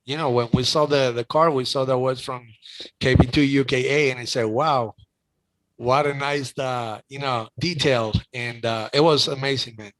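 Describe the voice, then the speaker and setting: A man's voice: medium pitch, fairly even intonation, moderate pace, a bit bright, rough recording. A middle-aged man with a Cuban accent hosting a casual chat, talking emotionally with many restarts. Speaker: host.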